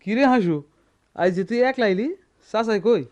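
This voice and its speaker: A man's voice: sits high, normal speed, over loud music, close to an everyday voice. A man playing a sly, mocking young crooked workman in a Bengali radio drama, with a rural Bangladeshi accent. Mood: teasing. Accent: rural Bangladeshi dialect. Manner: sly, teasing.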